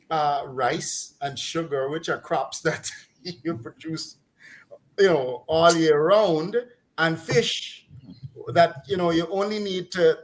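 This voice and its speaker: Exasperated Guyanese man ranting. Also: a little high. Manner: exasperated, mocking, laughs while ranting. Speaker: commentator on a talk program. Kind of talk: casual opinionated chat.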